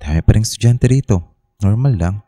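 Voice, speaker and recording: Young male student, low voice, very soft dark timbre, hesitant, earnest, uneasy, dry radio drama. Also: animated, a little fast, no room sound. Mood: reassuring, uneasy. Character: uneasy young male provincial student who senses ghosts. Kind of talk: Filipino radio drama acting.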